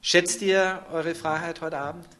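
A man's voice: on the high side, steady tone, measured pace, sharp tone. A man interpreting a sermon live, turning each short phrase into a fuller sentence right after the speaker.

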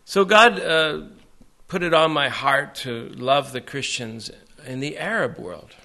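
An American man preaching to a congregation in short phrases, pausing for an interpreter after each one. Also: a little high, animated, pushed and bright. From United States. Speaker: visiting preacher.

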